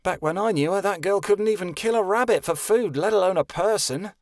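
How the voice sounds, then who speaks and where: A man's voice: sits high, brisk, no room sound, pitched above the performer's own voice. A man doing a worried young wife in a storybook reading, raised well above his own voice and pushing back in protest. The sound is close and dry.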